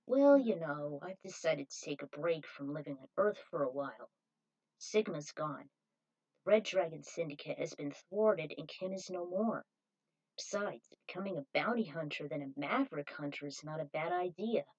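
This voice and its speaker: A woman voicing a confident, easygoing male warrior in a story reading, measured and assured. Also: sits low, expressive, a little mellow, pitched below the performer's own voice, poor audio. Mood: confident, relaxed.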